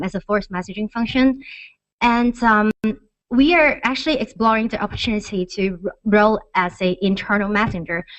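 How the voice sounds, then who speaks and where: A woman's voice: mid-range voice, no room sound. A woman founder on stage at a tech conference, explaining her business into a close, dry, slightly dull-sounding mic. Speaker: startup founder presenting at a conference. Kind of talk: conference pitch and question answering. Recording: dull, lo-fi event recording.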